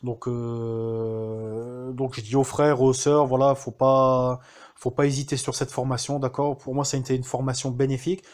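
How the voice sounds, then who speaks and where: Homme hésitant, nombreuses reprises. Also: male voice, medium pitch, completely flat delivery, moderate pace, a little mellow. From France. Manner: hesitant, many fillers and restarts. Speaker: student giving a testimonial. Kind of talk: casual spoken testimonial.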